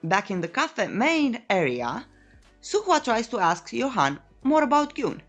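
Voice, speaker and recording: A woman's voice: fairly high pitch, very animated, measured pace, soft background music. A woman reading scripted recap narration of a comic in a cheeky storytelling manner.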